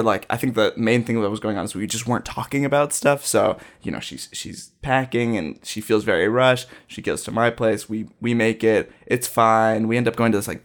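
A young man from Ohio, telling a rambling personal story in a casual, self-deprecating way. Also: on the low side. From Dayton, Ohio, US.

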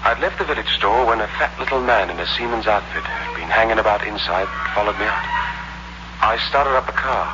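A man's voice: lowish voice, lively intonation, a little fast, highs cut off, lightly acted. An actor playing a weary, cagey impostor who tells his scheme tersely in a 1960s radio suspense play, on a noisy old recording. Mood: tense, hard-boiled. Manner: terse, hard-boiled storytelling. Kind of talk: 1960s radio suspense acting, first-person narration.

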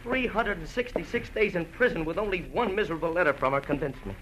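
Nervous, halting ex-convict. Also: male voice, sits high, fairly bright tone, poor audio. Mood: anxious. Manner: halting, nervous, repeats words. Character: nervous, bitter framed gas-station man, just out of jail. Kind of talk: 1940s-50s radio drama acting. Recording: old radio broadcast, faint noise.

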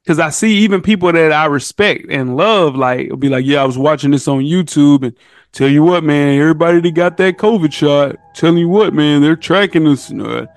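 Higher-voiced man in slangy podcast banter. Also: a little fast, no echo. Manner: slangy, emphatic, addresses others as bro. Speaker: podcast guest.

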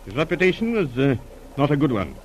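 An actor playing an earnest, courteous doctor in a 1940s radio drama, speaking in a measured, slightly hesitant way. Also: male voice, a little high, sing-song. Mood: grave, confiding. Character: earnest, courteous male physician confiding a mystery. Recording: noisy old radio recording.

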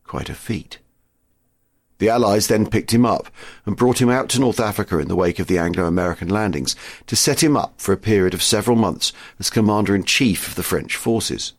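A man presents as host in scripted narration. His voice is fairly low, and the recording is dry with almost no room sound. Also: a little fast, dry recording.

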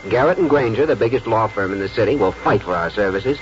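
A man's voice: lowish voice, expressive, fast talker, a little hiss or hum, natural voice. An actor playing an ambitious, earnest young lawyer who narrates his life with wry theatricality in 1940s radio drama style. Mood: eager. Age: young adult. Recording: dull 1940s radio recording with loud music.

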